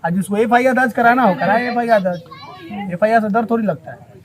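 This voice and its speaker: High-pitched Bihari man, emphatic. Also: fast. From Bihar, India. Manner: emphatic, repeats phrases for stress. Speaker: local interviewee. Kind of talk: unscripted street interview.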